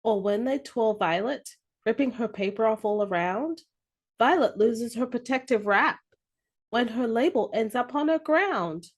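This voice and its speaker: A woman reading a children's story aloud with expressive, deliberate phrasing. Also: fairly high pitch.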